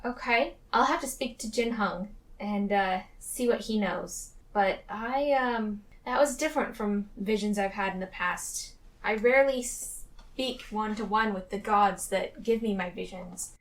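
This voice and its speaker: A woman's voice: medium pitch, measured pace, recorded from a distance, close to an everyday voice. A woman playing an earnest, hesitant female seer in a tabletop fantasy game, polite and tentative as she questions others.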